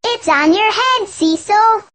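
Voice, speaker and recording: Slow, high-voiced girl elephant. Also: animated, a bit bright, exaggerated voice. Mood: patient. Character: modest, gentle young girl elephant. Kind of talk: children's educational game acting.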